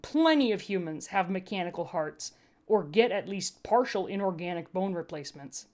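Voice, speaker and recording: A woman voicing a gruff, weary human medic with a blunt, emphatic, argumentative way of talking, in a story reading. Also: fairly low pitch, animated, normal speed, darkish tone, natural voice. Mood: challenging.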